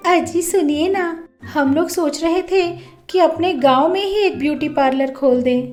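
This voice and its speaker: A woman voicing a timid, excitable young village wife in a storybook tale, with a gossipy, animated manner. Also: high voice, unhurried, voice pushed up. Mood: pleading, bold. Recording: music bed under the voice.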